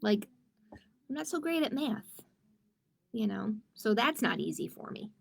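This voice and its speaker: Slow, high-voiced woman hosting storytime. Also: creaky, a little hiss or hum. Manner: expressive, chatty, addressing young children with questions. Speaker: children's librarian and storytime host. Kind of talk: children's storytime, read-aloud with asides to viewers. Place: livestreamed storytime.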